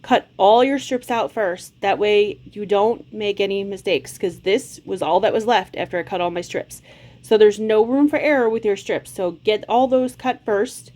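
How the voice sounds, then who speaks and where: A woman's voice: mid-range voice, moderate pace, darkish tone. Friendly woman teaching quilt cutting. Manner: friendly, chatty, step-by-step explaining. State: slight cold, occasional cough. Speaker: quilting channel host. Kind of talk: casual sewing tutorial. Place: home sewing room, indoors.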